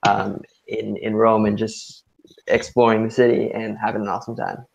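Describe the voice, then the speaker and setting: A young man introducing himself as a student ambassador in a relaxed, halting way full of fillers. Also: lowish voice, creaky. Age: young adult. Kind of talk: casual self-introduction. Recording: dull lo-fi sound.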